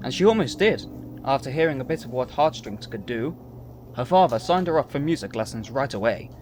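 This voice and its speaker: A man's voice: mid-range voice, lively intonation, brisk, a little mellow, slight background noise. A man reading scripted story narration as the narrator of an audio drama.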